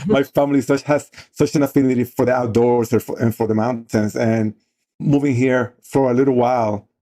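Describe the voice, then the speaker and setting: A man in his forties from the Dominican Republic with a Dominican Spanish accent, telling his story as an interview guest in an animated way. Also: medium pitch, moderate pace. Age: 40s. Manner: animated, frequent restarts and laughs. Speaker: guest, mentorship program co-founder.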